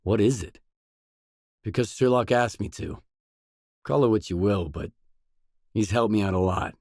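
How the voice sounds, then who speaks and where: An actor playing a determined, brooding stalker in a grim video game, with a measured, serious, understated delivery. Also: male voice, darkish tone, no echo, clean studio sound. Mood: calm, serious. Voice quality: low, husky. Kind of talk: game voice acting, isolated dialogue lines.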